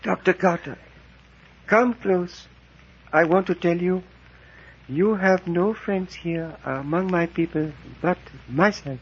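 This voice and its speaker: A man's voice: sits high, breathy edge, heavily acted. A man playing a wounded Cambodian priest in a 1940s radio drama, speaking formally with solemn grief. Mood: solemn, grieving. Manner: slow, formal, stilted speech. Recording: muffled vintage 1940s radio recording.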